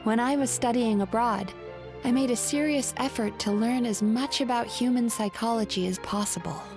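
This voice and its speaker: Woman playing a gentle, high-voiced composed young healer. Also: over music, lightly acted. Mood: calm, reflective. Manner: calm, measured, thoughtful. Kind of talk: anime video game voice acting.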